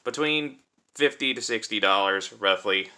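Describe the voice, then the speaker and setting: A man's voice: on the low side, unhurried, pushed and bright. A man giving a casual hands-on product review in an informal, conversational way, thinking aloud as he demonstrates. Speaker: toy reviewer and host.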